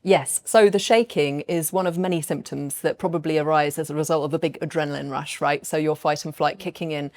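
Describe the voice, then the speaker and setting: A woman voice coach on a panel, explaining her ideas to an audience in a thoughtful, measured way with light laughs. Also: low voice, steady tone, a little mellow. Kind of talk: panel talk answering audience questions.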